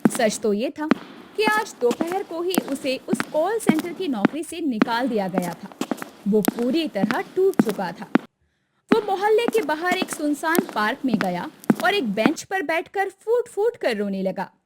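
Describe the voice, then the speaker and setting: A woman's voice: high-pitched, big pitch swings, a little slow, heavy background noise. A woman narrating a dramatic scripted story as the storyteller.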